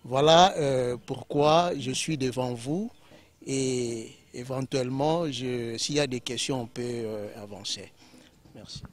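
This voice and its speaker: A man from Kinshasa with a Congolese French accent, explaining as an interview guest in a halting way with many self-corrections. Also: medium pitch. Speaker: guest political scientist.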